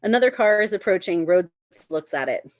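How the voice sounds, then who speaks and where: A woman's voice: medium pitch, lively intonation, brisk, dry recording, highs cut off. A woman reading stage directions at a live script table read, informal and lightly amused.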